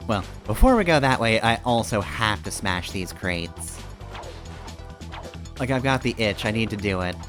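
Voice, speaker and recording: A man's voice: lowish voice, expressive, moderate pace, fairly bright tone. A man giving casual, rambling live commentary while he plays a video game, thinking aloud as he goes. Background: video game music.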